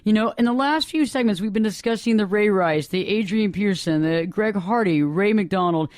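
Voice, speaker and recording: A woman's voice: mid-range voice, normal speed, a little mellow, no room sound, studio quality. A woman hosting a live radio talk show, speaking with energy and emphasis and repeating her points.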